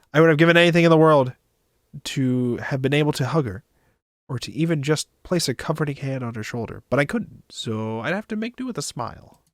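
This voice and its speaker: A man's voice: fairly high pitch, no echo, studio quality. A man streaming, reading a visual novel aloud expressively with casual asides.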